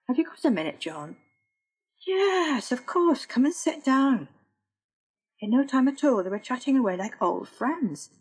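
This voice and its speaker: A woman narrating her own book as an audiobook. Her reading is measured and even, and her pitch sits at a middling height for a woman. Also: low-quality recording.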